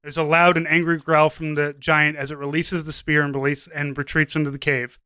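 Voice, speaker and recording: A man's voice: fairly high pitch, flat delivery, a little fast, sharp tone, smooth, no echo, clean studio sound. A man narrating a scripted comedy story as a podcast host, now and then stumbling as he reads.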